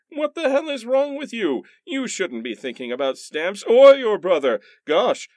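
Man playing indignant pitchman, brash, over-excited, parody radio ad, lively pitch swings, dry sound. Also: no room sound.

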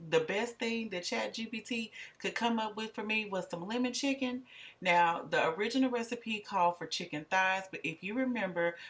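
A woman's voice: on the low side, a bit bright. Chatty, wry woman cooking vlogger. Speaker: vlog host. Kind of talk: casual cooking vlog voice-over.

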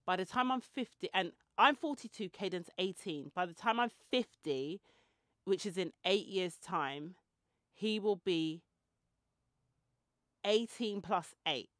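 A woman's voice: medium pitch, expressive, a little slow, a bit bright, dry recording, studio quality. Chatty woman in her forties. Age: 40s. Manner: chatty and rambling, with tangents and self-mocking asides. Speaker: podcast host. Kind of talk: casual chatty monologue. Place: small indoor room with shelves and clothes rails. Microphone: handheld mic held close.

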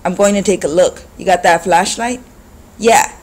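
A reader voicing rough, threatening men who talk in a slurred street manner. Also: medium pitch, moderate pace, lightly acted. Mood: menacing. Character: rough menacing men robbing an old man.

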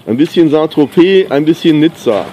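Middle-aged man, slightly high voice, relaxed enthusiastic vlogger, outdoor camera mic with faint background noise. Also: moderate pace, poor audio. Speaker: pop artist vlogging. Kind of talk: casual vlog to camera. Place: outdoors at a sunny marina. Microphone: handheld camera mic.

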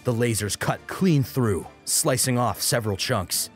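A man's voice: medium pitch, unhurried, soft background music. A man narrating an action story as an audiobook, in a dramatic scripted style.

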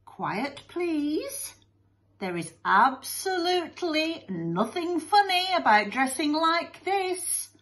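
A woman's voice: high voice, sing-song, slow pace, hardly any pauses, fairly bright tone, pitched above the performer's own voice, exaggerated voice. A woman voicing a pompous, doting storybook father who speaks earnestly and draws out his words. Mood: pompous, displeased.

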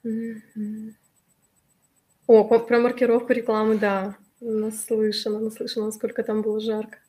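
A woman in her thirties, a little hoarse from allergies, hosting a live webinar on a video call and reading out and answering viewers' chat messages in a friendly way. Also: a little high, flat delivery, moderate pace, warm tone. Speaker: webinar host. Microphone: webcam on a video call.

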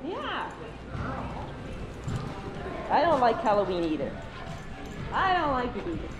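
A woman's voice: fairly high pitch, big pitch swings, unhurried, music in the background. A woman, a service dog handler, chatting casually and praising her dog with cheery coaxing while walking through a mall. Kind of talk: casual vlog chat while training a dog. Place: indoor shopping mall and stores. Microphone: action camera worn low on a dog. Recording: loud mall background noise.

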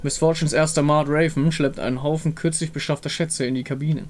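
A man's voice: a little high, steady tone, a little fast. A man giving scripted narration as the narrator of a game visual novel.

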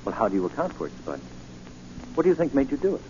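An actor playing a suave, composed gentleman sleuth, courteous and assured, in 1940s radio style. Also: mid-range voice, very animated, fast, lightly acted. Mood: intrigued, reassuring. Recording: muffled, hissy 1940 radio recording.

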